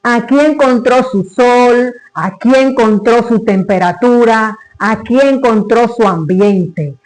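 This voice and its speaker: Slow, high-pitched man reciting solemnly. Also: poor audio. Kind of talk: dramatic recited literary monologue.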